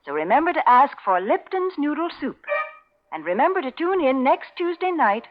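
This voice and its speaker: A slightly dull old radio recording carries a woman's fairly high, very animated voice. She delivers sponsor messages in a friendly, homey 1940s advertising style. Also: normal speed. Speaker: commercial spokeswoman.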